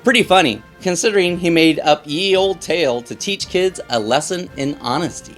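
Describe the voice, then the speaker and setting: A man's voice: a little high, normal speed, a bit bright, faint music behind. A man hosting a children's read-aloud, reading in a playful, theatrical way and putting questions to young listeners.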